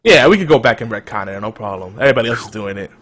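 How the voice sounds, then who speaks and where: A man hosting a casual podcast chat, talking in a hyped-up, loud, energetic way. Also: lowish voice, rapid-fire, slight background noise. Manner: energetic, self-described loud and hype. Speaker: podcast host.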